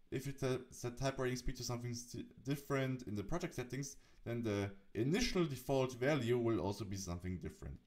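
A man presenting a software tutorial, narrating the setup step by step. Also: mid-range voice.

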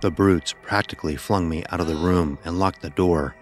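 A man narrating a dramatic first-person horror story in a grave, measured manner. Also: deep voice, soft background music.

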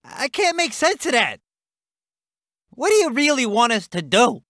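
An actor playing a brash American army truck driver in a video game, with punchy, clipped, slangy soldier delivery. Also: male voice, very high-pitched, very animated, unhurried, pushed and bright, no echo, clean studio sound, exaggerated voice. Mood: grumbling. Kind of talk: video game unit barks.

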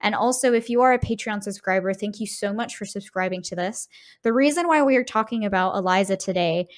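A woman co-hosts a casual, analytical podcast. Her voice sits at a middle pitch. She talks in a discursive, winding way and keeps checking in with a tagged-on "right?". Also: brisk. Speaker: podcast host.

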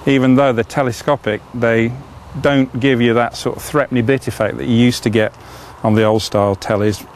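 A man's voice: medium pitch, steady tone, a little fast, slight background noise. A middle-aged man, chatting enthusiastically as he demonstrates fishing rods, with frequent "uh" fillers. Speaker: fishing tackle presenter. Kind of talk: product demonstration.

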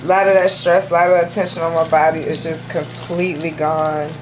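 Lady patient, kinda low voice, gushing and repeating herself, pretty noisy recording. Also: flat delivery, fairly quick. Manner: effusive, repeats words for emphasis. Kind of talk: casual testimonial chat. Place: small indoor treatment room, lying on a table. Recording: clearly noisy room recording.

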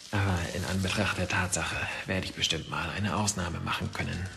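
An actor playing a wisecracking, grumbling adventurer with a sarcastic manner in a German audio drama. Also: male voice, low voice, fairly even intonation, moderate pace, creaky, noisy background. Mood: wry.

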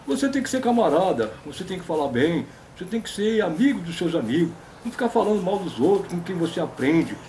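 Man doing a high-voiced boastful old grandpa puppet. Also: very animated, fast, few pauses, a little mellow, a little hiss or hum, poor audio. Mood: lecturing. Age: older. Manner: pompous, folksy, repeats a stock affirmation. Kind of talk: comic puppet interview.

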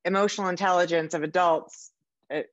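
A middle-aged woman with a lowish voice interviews in a conversational, searching way, often feeling for the next phrase. The sound is close and dry, a little muffled, like a home video call. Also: fairly even intonation, dry recording. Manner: halting, searching for words. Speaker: interviewer, podcast host. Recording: slightly dull video-call audio.

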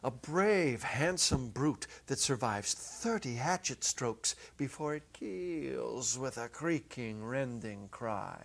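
Slow, deliberate male story narrator. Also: mid-range voice, gravelly. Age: middle-aged. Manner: expressive, deliberate, with dramatic pauses. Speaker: narrator, reader. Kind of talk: dramatic reading of a literary short story. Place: indoor set, seated at a music stand. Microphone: clip-on lapel.

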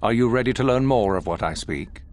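A man's voice: mid-range voice, animated, fairly quick, a little mellow, natural voice. A man playing a commanding older Sith master in a video game, speaking in theatrical, condescending oratory. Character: commanding older male Sith master, sinister, solemn and goading. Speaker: academy master addressing recruits. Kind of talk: dramatic scripted video game acting.

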